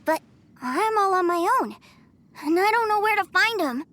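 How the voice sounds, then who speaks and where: A woman's voice: extremely high pitch, animated, normal speed, bright, forceful tone, slight background noise, exaggerated voice. A woman voicing a cheerful, trusting little boy in a video game, earnest and emphatic in a childlike way. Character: cheerful, trusting little boy devoted to his big brother. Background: prominent music.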